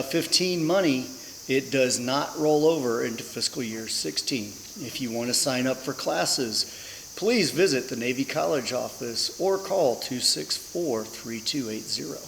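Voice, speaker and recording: A man's voice: mid-range voice, expressive, unhurried, gravelly, big-room sound, slight background noise, rough recording. A man co-hosting a military base show, reading announcements with upbeat, joking asides. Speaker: senior enlisted co-host.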